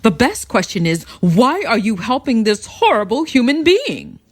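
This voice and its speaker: A woman's voice: on the high side, huge pitch swings, moderate pace, no echo. Woman acting a theatrical, wildly inflected heroine. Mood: triumphant, accusing. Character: indignant heroine confronting a betrayer. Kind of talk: comedic audio drama acting.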